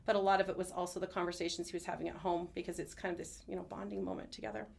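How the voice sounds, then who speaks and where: A woman's voice: fairly low pitch, level tone, rapid-fire, gravelly, a little hiss or hum. A middle-aged woman, a schoolteacher, chatting earnestly and enthusiastically as an interview guest. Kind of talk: conversational interview answers. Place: studio.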